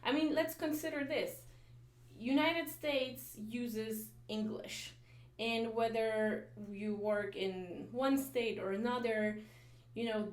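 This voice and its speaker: Woman vlogging in a roomy echo. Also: medium pitch. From Poland. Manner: earnest, thinking aloud with fillers and restarts. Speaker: career advice vlogger, software engineer. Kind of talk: casual explanatory vlog talk.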